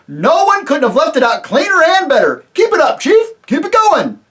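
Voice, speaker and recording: A reader voicing a chorus of eager invisible men who shout and fawn over their chief. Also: high-pitched, wildly swooping pitch, moderate pace, pitched above the performer's own voice, full character voice. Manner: shouting, fawning, chanting in unison. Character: crowd of eager invisible male followers.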